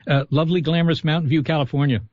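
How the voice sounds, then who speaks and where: A man who is a guest astronomer on a radio interview, quick, chatty and self-deprecating. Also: a little high, fairly even intonation, dry recording. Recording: dull lo-fi radio line.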